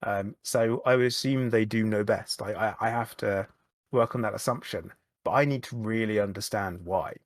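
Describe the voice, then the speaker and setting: Low-voiced man talking in halting restarts. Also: no echo, studio quality. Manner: halting, repeats words and restarts often. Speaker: podcast guest. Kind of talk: candid conversational chat.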